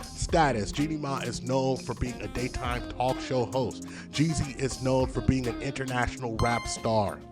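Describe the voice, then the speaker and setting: A man's voice: medium pitch, normal speed. A man giving opinion commentary in a played video, lecturing emphatically and hammering home each point. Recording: music bed under the voice.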